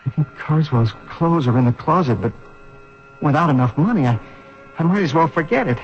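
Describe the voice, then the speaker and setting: An actor playing an agitated, desperate man in an urgent, halting and emphatic radio drama style. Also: fairly high pitch, expressive, soft background music, natural voice. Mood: desperate, scheming. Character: agitated engineer, desperate man revived in another body. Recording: dull, band-limited vintage radio recording.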